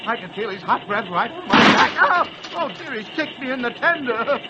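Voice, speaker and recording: A man playing a timid, excitable, polite fellow who is flustered and stammers, in 1940s radio acting style. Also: very high-pitched, normal speed, heavily acted. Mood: panicked. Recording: dull, noisy vintage radio recording.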